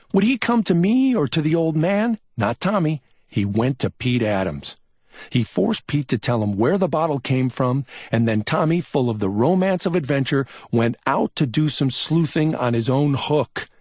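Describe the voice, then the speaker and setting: A man voicing a brusque, excitable male police officer who snaps out urgent reasoning. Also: mid-range voice, slightly rough, dry recording, studio quality, pitched above the performer's own voice. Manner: snapping, urgent, scolding.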